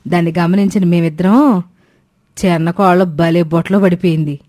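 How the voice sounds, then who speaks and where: A woman's voice: fairly low pitch, moderate pace, warm tone, natural voice. A woman voicing a sly, sweet-talking elderly mother-in-law in a moral cartoon story. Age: older.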